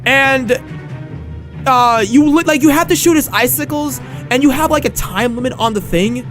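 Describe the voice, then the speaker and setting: A man hosting a casual game review podcast, rambling and tripping over his words, slightly hoarse from losing his voice. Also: very high voice, moderate pace, fairly bright tone, soft background music. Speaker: podcast host.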